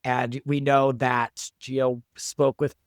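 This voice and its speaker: A man's voice: mid-range voice, flat delivery, slow, clean tone, no echo, studio quality. A man talking as an interview guest, a producer explaining his work in an informal, enthusiastic way. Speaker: guest, show creator and producer. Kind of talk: casual interview chat.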